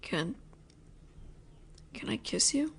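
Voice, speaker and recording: Young woman, audio roleplay, possessive teasing roommate, soft low delivery, unhurried. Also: a little hiss or hum. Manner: soft, low and lingering, slipping into whispers. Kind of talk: intimate audio roleplay, speaking to a listener.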